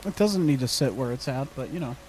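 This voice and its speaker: A man's voice: medium pitch, lively intonation, fairly quick, darkish tone. A young man giving casual gameplay commentary, muttering to himself, humming and repeating phrases. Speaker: gaming streamer.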